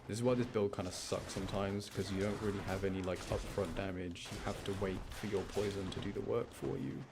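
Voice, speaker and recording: A man's voice: sits low, fairly even intonation, a little fast, gravelly. A man giving casual gameplay commentary, lightly grumbling and self-deprecating as he explains what he is doing. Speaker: gamer playing and commenting, channel host. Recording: noticeable background noise.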